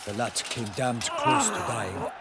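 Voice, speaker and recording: An actor playing a stern, protective knight in a video game, formal and chiding in measured speech. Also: male voice, medium pitch, huge pitch swings, a crowd in the background. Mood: stern concern. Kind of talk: video game dialogue acting. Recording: loud outdoor game ambience noise.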